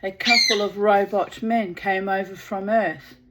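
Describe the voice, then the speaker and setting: A woman's voice: mid-range voice, fairly even intonation, unhurried, heavy background noise, lightly acted. A woman with a New Zealand accent reading a male engineer in a storybook, explaining plainly to a worker. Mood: matter-of-fact. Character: weary male engineer supervising builders.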